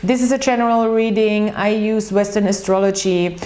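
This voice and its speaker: A woman's voice: medium pitch, steady tone, moderate pace, dark tone. Chatty Austrian woman reading tarot. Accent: Austrian German. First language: German. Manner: chatty, rambling, with many ums and restarts. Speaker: tarot reader. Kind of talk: casual monthly astrology reading.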